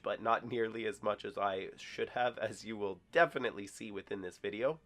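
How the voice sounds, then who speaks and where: A young man with a stuffy voice from a cold, hosting his own gaming channel and giving an upbeat, self-deprecating first-impressions review. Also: on the low side.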